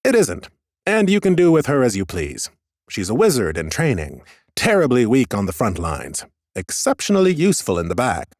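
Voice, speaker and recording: A man narrating a first-person fantasy audiobook in a measured storytelling manner, slipping into dialogue. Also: mid-range voice, huge pitch swings, no echo, studio quality.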